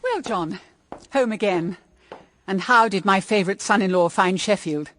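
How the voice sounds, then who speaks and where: A woman's voice: mid-range voice, normal speed, a bit bright, lightly acted. An actress with a British accent playing an evasive, anxious older mother-in-law in a period radio drama, hesitant and guarded. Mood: pleasant.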